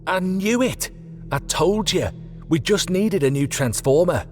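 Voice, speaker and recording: A man voicing a sharp, practical woman engineer in an audiobook, brisk and confident, firm yet friendly. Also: high-pitched, lively intonation, big-room sound, soft background music, pitched above the performer's own voice. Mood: excited.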